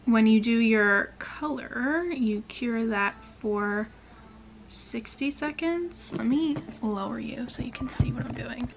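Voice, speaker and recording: A woman in her twenties chatting casually to camera in a small bedroom, indecisive and drifting into soft whispers. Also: on the high side, slow pace, noisy background. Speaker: beauty vlogger. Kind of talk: casual chat.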